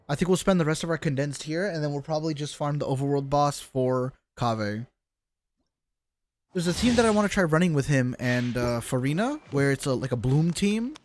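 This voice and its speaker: Casting: male game streamer, fairly high voice, casual running commentary, muttering his thoughts aloud, some noise behind. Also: animated, a little fast. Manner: thinks aloud, talking to himself. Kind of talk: casual live gameplay commentary.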